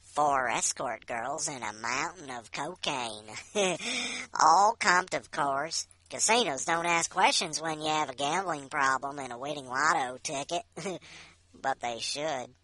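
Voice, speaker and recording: A woman's voice: sits low, lively intonation, measured pace, sharp tone, exaggerated voice, low-quality recording. A woman voicing a gloating, reckless gambling addict boasting through a short story monologue. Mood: smug, sly.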